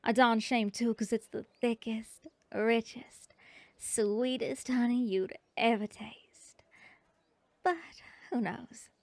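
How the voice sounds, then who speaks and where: A woman voicing a friendly young bee girl honey seller, speaking with a Southern drawl in a folksy, hospitable way and slipping into soft whispers, close to the microphone. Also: fairly high pitch, fairly even intonation, slow pace, dry recording. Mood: teasing. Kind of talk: ASMR roleplay. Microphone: close ASMR microphone.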